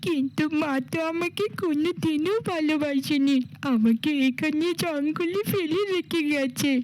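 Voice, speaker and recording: A woman's voice: sits high, measured pace, a little mellow, no echo, rough recording. A woman voicing a devoted, timid little girl in a cartoon fairy tale, with a pleading, childlike manner. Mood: frightened, forlorn. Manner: pleading, childlike, affectionate way of addressing mother.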